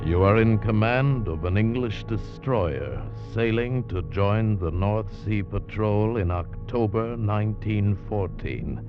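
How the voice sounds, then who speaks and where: A man's voice: on the low side, lively intonation, takes it slowly, few pauses. A man reading a dramatic 1940s radio teaser in a grave, portentous manner. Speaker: radio announcer reading next week's teaser. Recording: muffled old radio recording with music.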